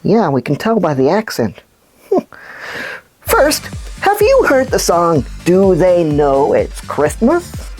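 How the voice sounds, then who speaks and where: A woman voicing an excitable, chatty puppet news anchor, presenting scripted children's comedy news with emphatic delivery. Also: on the low side, slow pace, soft background music, exaggerated voice. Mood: enthusiastic.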